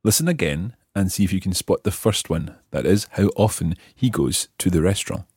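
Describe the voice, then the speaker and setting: Animated, husky-voiced male language teacher. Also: low-pitched, no room sound, clean studio sound. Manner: explanatory, repeats phrases slowly and deliberately. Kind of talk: scripted language lesson narration.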